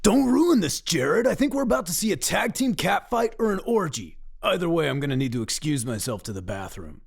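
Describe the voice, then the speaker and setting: An actor playing a goofy, crude, pun-loving pizza cook in a comedic radio play, with a clownish, theatrical delivery. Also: male voice, a little high, sing-song, fairly quick, creaky, husky, full character voice. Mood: smug, crude. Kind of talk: comedic radio drama acting.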